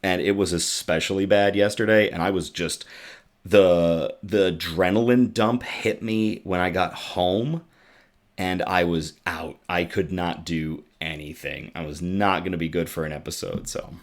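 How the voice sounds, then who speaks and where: Low-voiced raspy man hosting a stream. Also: steady tone, unhurried. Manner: chatty and loose, with many ums and uhs, reading comments aloud. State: raspy, worn-out voice. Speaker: host of a good-news livestream show. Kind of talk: casual livestream chat. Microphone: desktop USB microphone.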